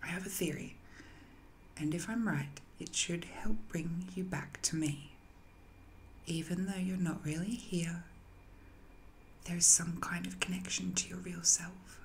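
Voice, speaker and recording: A woman acts out an ancient, banished villainess in an intimate roleplay drama. Her voice is lowish and very unhurried, breathy and coy, with a lonely, seductive slyness. There is some noise behind her. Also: steady tone. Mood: hushed, urgent. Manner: slow, breathy, coy, often dropping to a whisper.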